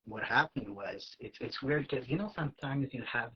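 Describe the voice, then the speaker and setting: A man with a French Canadian accent, a native French speaker, telling personal stories in a casual guest chat, lively and acting out the lines he quotes, with plenty of fillers. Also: medium pitch, normal speed, breathy edge, highs cut off. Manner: animated, acts out quoted lines, many fillers. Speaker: guest, aspiring actor. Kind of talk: casual storytelling.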